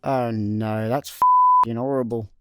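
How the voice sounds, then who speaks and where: A man's voice: medium pitch, wildly swooping pitch, takes it slowly. A man with a Scottish accent playing a hapless, fretful space slacker in a comic sci-fi radio play. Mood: dismayed. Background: music.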